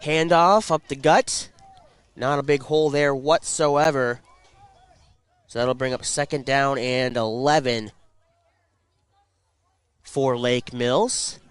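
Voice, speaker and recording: A man calling high school football play-by-play for radio, congested from a cold and picking up energy during plays. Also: takes it slowly, pauses often, clean tone, dry recording. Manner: rising excitement on plays. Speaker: play-by-play announcer. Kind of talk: radio sports commentary.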